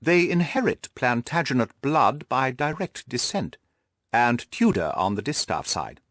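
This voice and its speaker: A man narrating a literary audiobook in an expressive style. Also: medium pitch, unhurried, no echo.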